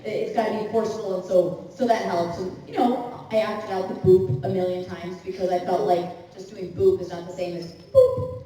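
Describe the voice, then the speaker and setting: A woman voice actor answering a fan's question at a convention panel, relaxed and matter-of-fact. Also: fairly low pitch, moderate pace, faint music behind. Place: large convention hall. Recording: echoey hall from far off.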